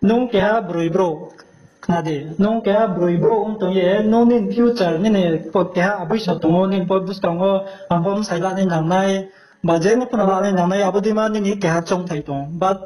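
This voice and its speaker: A man's voice: high-pitched, fast, a little mellow, poor audio. A man talking informally in a recorded phone conversation.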